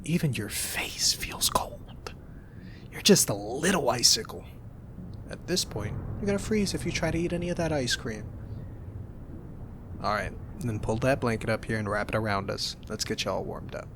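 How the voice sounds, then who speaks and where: A young man doing an ASMR roleplay as a roommate, talking softly in a teasing, reassuring way. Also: medium pitch, expressive, normal speed, noisy background.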